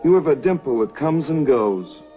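A man's voice: on the high side, animated, normal speed, natural voice. An actor playing a glib young city man. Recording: dull old TV soundtrack with music.

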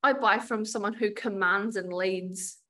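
A woman's voice: mid-range voice, unhurried, bright, forceful tone. A woman in her forties with a New Zealand accent, a business coach talking frankly on a video call interview from a room at home. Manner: chatty, frank, many restarts. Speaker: podcast guest, business coach. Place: home room with door and painting. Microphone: video call webcam.

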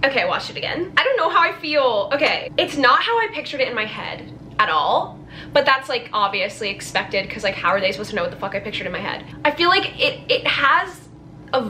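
A woman in her twenties giving a casual, expressive, informal vlog reaction with lots of "like". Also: on the high side, a little fast, slight background noise, low-quality recording. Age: 20s. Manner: expressive, informal, filler-heavy "like". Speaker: book vlogger. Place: small bedroom, indoors.

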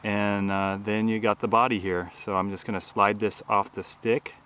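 A man's voice: fairly low pitch, level tone, moderate pace, a bit bright, clear, resonant tone. A man in his forties explaining outdoor survival cooking in a plain, matter-of-fact way with frequent filler words. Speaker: bushcraft video host. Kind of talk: outdoor how-to narration. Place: outdoors in a grassy park.

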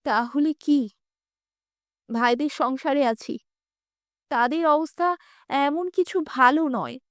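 A woman voicing a proud, needy middle-aged estranged wife in a story, speaking insistently with cutting, deliberate articulation. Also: high-pitched, dry recording, studio quality, voice pushed up. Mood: demanding.

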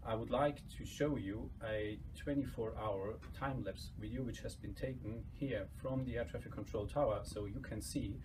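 A man's voice: mid-range voice, moderate pace, hardly any pauses. A man in his thirties giving an explanatory introduction to schoolchildren as a presenter. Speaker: air traffic control manager presenting to schools. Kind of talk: scripted-sounding introduction to an educational broadcast. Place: small indoor office room. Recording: distant mic, clear background noise.

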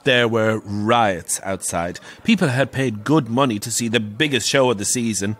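An actor playing a camp, wry, middle-aged Irish pantomime dame who relishes telling grisly ghost stories in an audio drama. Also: male voice, on the low side, normal speed, fairly bright tone. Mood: ominous, relishing. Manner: theatrical, wry, relishing the tale.

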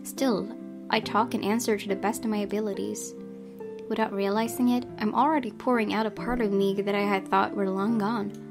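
A woman's voice: mid-range voice, steady tone, normal speed. A woman reading a visual novel's narration aloud as a let's play host. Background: guitar music from the game.

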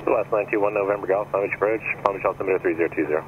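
Casting: male air traffic controller, flat and quick, heard over a noisy aviation radio. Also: low voice. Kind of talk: clipped radio instructions. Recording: dull radio with clear aircraft noise.

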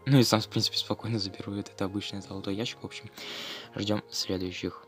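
A man's voice: fairly low pitch, level tone, fast talker, fairly bright tone, soft background music. A man giving casual, slangy running commentary on his gameplay for viewers, as host of a gaming video.

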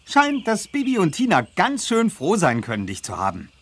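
A man voicing a cocky but good-natured teenage boy in a children's game, self-assured and expressive. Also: high voice, normal speed, slight background noise. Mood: cocky, friendly. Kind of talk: scripted children's game dialogue.

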